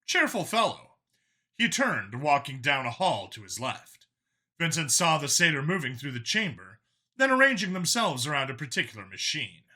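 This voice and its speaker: A male audiobook narrator tells a dramatic science fiction story in an expressive, theatrical way. His voice is slightly high for a man and very bright, with lively swings in pitch. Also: moderate pace.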